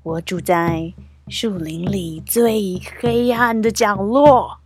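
A man voicing a sly, menacing weasel in a children's audio drama, with a harsh, hissing, whispery voice and slow, drawn-out delivery. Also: sits high. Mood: sly menace. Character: sly menacing male weasel predator, hungry for rabbits.

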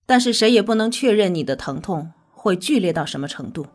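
An actress playing a composed research doctor in a science fiction radio drama, speaking in a calm, formal, matter-of-fact way. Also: female voice, mid-range voice, brisk, natural voice. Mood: clinical, calm. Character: composed female research doctor, frank and clinical. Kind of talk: Chinese sci-fi radio drama acting.

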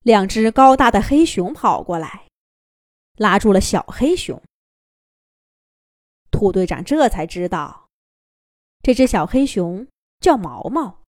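A woman storyteller reading a children's fairy tale in an expressive, lilting manner. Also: medium pitch, takes it slowly, long pauses, no room sound, studio quality.

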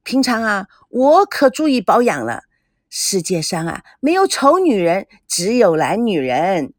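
A woman's voice: mid-range voice, big pitch swings, a little slow, no echo, clean studio sound. A woman voicing a vain, bubbly, coquettish woman in an audiobook, cutesy and boastful. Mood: coquettish boasting.

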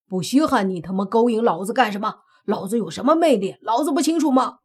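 Woman voicing a loud cursing young brawler. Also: fairly high pitch, lively intonation, a little fast, slightly rough, voice pushed up. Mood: furious mocking. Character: crude hot-headed young man.

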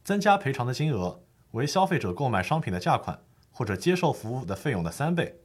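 A man's voice: mid-range voice, very animated, brisk, slightly rough. A man playing a patient lawyer who explains the law formally and methodically in a scripted educational audio drama. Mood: explaining, firm.

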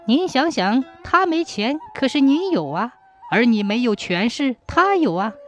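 A woman's voice: fairly high pitch, sing-song, measured pace, soft background music, voice pushed up. A woman voicing a brash, boastful matchmaker in a folk tale, with an exclamatory, wheedling and theatrical delivery. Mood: coaxing. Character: brash, fast-talking old-time matchmaker woman, cajoling and boastful.

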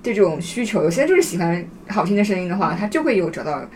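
Animated, quick woman discussing on a podcast. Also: medium pitch, slight background noise.

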